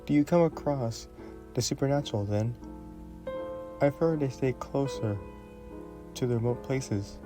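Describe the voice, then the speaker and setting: A man playing a polite, gentle young prince in a romantic fantasy audio roleplay, speaking formally and a little hesitantly. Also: mid-range voice, measured pace, long pauses, music in the background, natural voice. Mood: polite, curious. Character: young prince, courteous, gentle, shyly curious royal.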